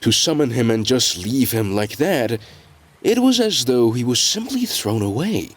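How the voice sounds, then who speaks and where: Male story narrator with a lively range. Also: mid-range voice, unhurried. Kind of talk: scripted dramatic audiobook narration.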